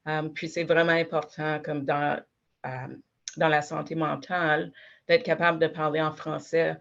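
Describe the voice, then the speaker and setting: A woman's voice: sits low, flat delivery, unhurried, gravelly, a bit bright, dull audio. A woman working at a health centre, answering a panel question reflectively.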